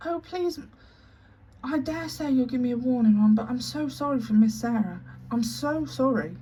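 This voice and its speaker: A woman's voice: on the high side, steady tone, brisk, dark tone, slight background noise, voice pushed up, close to an everyday voice. A woman doing a timid, weepy maid girl. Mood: pleading.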